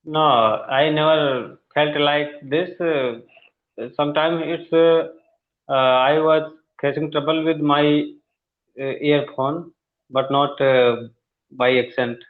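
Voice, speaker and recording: A man from India with an Indian accent practicing spoken English. He pauses often with fillers, and his grammar shows English is not his first language. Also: a little high, fairly even intonation. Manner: hesitant, many fillers, non-native grammar. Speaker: English learner. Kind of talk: online conversation practice. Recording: tinny phone-like call audio.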